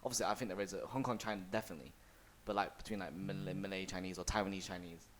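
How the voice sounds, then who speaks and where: Slangy young London man, low voice. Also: very fast. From England. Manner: slangy, swears freely, animated storytelling. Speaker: podcast co-host. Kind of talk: casual chat.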